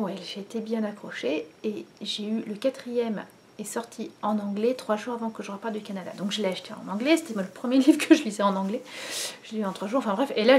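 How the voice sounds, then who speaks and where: A woman's voice: mid-range voice, a little mellow. A woman in her thirties from France chatting casually to camera at home, rambling with many fillers and restarts. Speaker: knitting vlogger. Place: living room at home, indoors. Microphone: camera in front, head and shoulders.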